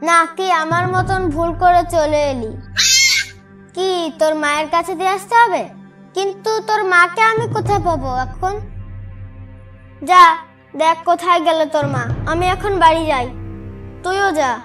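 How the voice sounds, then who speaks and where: Someone doing a super high chatty little village kid for a cartoon, bit far off, music under. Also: moderate pace, pauses often, pushed and bright. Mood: curious, tender. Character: young village child, curious, kindly, bossy with friends. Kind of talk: Bengali cartoon voice acting.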